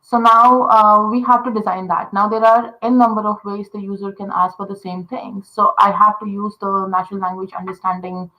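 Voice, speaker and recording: A woman's voice: mid-range voice, steady tone, moderate pace, few pauses, muffled recording. A woman from India, a voice designer patiently explaining how voice assistants work, full of "uh" and "you know". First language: Telugu. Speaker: voice interaction designer. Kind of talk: explaining in a casual interview.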